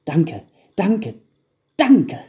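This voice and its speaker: A man voicing excitable little gnome children who beg and pester in a storybook tale. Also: on the high side, normal speed, voice pushed up. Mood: grateful. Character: pleading, excitable gnome children begging for toys.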